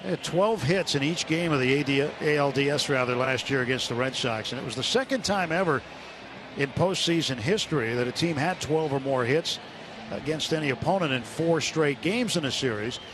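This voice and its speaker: A man's voice: on the high side, expressive, fairly quick. A man working as a radio play-by-play announcer, giving live baseball commentary from a ballpark. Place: outdoor ballpark broadcast booth. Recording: noisy open-air stadium broadcast.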